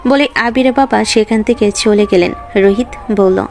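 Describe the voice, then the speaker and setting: A woman's voice: medium pitch, normal speed, darkish tone, soft background music. A woman reading scripted narration for a romantic story.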